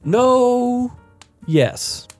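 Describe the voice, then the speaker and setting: A man's voice: extremely high pitch, animated, very slow, darkish tone, soft background music. A man co-hosting a podcast, chatting casually and emphatically with strong opinions about screen entertainment. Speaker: podcast co-host. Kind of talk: casual film and TV chat.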